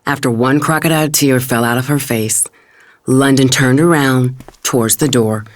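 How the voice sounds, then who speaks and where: A woman's voice: very deep voice, moderate pace. An actress playing an indignant, sassy wife and mother who narrates her family feud in an audio drama. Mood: scornful. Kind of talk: dramatic first-person story narration.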